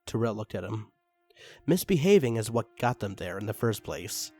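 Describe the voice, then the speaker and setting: A man's voice: fairly low pitch, big pitch swings, normal speed, a little mellow, no room sound. A man reading dramatic fiction aloud as a scripted narrator. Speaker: narrator and story reader. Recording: background music under the reading. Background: music.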